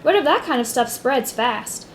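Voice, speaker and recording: High female voice, woman acting as knowing informant, upbeat, chatty, matter-of-fact, detective radio theater, faint background noise. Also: lively intonation, normal speed.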